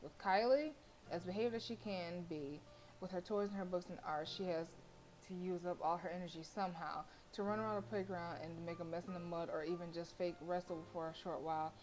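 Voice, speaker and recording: A woman's voice: lowish voice, fairly even intonation, a little fast, a little mellow, low-quality recording. A woman game streamer reading story text aloud expressively, with playful casual asides. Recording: faint game music behind. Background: game music.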